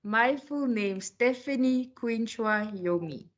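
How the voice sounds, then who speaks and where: A woman's voice: a little high, slow, muffled recording. A woman from Cameroon introducing herself as a student in an online class. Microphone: video call.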